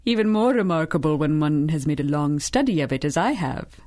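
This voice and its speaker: A silky, sinister chocolatier. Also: female voice, low voice, lively intonation, a little fast, mellow. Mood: silkily menacing. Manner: genteel, purring, condescending. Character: sinister older woman chocolatier, silky, menacing. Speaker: chocolate shop owner. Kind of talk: 1930s-style radio drama acting.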